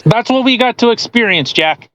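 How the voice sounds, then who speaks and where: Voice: mid-range voice, lively intonation, normal speed, fairly bright tone, no room sound. Crude, mocking podcast co-host. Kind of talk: casual commentary while reading a post aloud.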